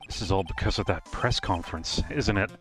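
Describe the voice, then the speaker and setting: A man voicing an earnest, shaken defense lawyer in a game fan dub, halting, dramatic and indignant. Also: sits low, soft background music, voice pushed down, close to an everyday voice. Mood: hurt, accusing. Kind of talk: live fan dub of a visual novel game.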